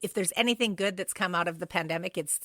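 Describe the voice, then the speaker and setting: A woman's voice: fairly low pitch, quick pace, fairly bright tone. A woman, co-hosting a podcast in a playful, teasing, chatty way. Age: 50s. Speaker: podcast co-host. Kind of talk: casual chat.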